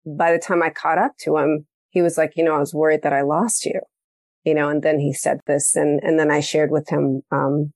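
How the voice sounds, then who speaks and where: Woman, podcast host, low voice, dry recording, lively chatty storytelling. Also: brisk, no echo. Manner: animated storytelling, acts out quoted dialogue, laughs often. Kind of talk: casual conversational interview.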